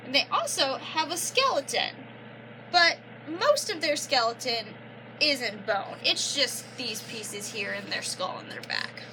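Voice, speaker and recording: A young woman reading a children's picture book aloud like a teacher, pausing to explain and prompt with questions. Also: high-pitched, animated, background noise. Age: young adult. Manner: instructive, prompting listeners with questions and affirmations.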